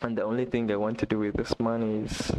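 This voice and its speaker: A man from Uganda, not a native English speaker, chatting excitedly on a live stream, repeating himself and talking straight to a viewer. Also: fairly low pitch, fast talker, poor audio. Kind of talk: casual live-stream chat. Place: indoor room.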